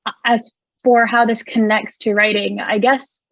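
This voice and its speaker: A woman's voice: fairly high pitch, little pitch movement, measured pace, a bit bright, dry recording. Young woman, from Indonesia, music writer, chatty, enthusiastic, filler-heavy, dry sound. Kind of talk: casual podcast interview.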